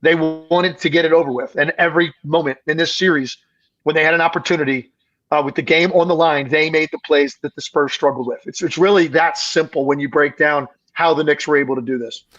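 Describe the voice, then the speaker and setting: Raspy, strained male basketball analyst. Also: on the high side, steady tone, brisk, fairly bright tone, muffled recording. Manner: emphatic, analytical breakdown. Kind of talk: casual sports podcast analysis.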